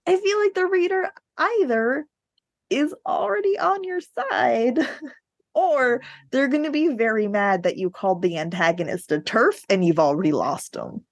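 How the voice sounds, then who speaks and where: Animated, blunt woman podcast host. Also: on the high side, measured pace, no echo. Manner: blunt, sweary, informal. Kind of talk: casual book discussion.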